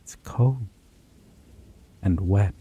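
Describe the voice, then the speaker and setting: Ancient male vampire, played by a man for ASMR roleplay: lowish, extremely slow, predatory and courtly, breathy theatrical phrasing, rain and thunder behind. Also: warm tone, exaggerated voice. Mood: playful, menacing.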